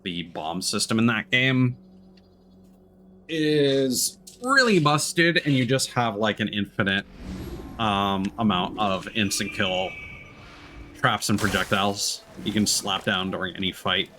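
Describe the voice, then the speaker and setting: A man's voice: fairly low pitch, lively intonation, a little slow, bright, forceful tone, slight background noise. A man streaming a video game with casual, wry, understated commentary over game music. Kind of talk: casual let's play commentary.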